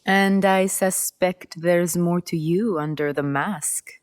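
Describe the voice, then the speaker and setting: A woman playing a poised, cunning, self-assured game character with a measured, unhurried way of talking. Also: fairly low pitch, steady tone. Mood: sly, inviting. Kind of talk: video game cutscene acting.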